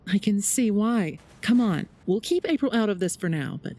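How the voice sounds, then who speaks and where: A woman's voice: mid-range voice, expressive, moderate pace, dark tone, close to an everyday voice. A woman playing a concerned middle-aged mother figure in an audio drama, caring and insistent. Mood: shocked concern.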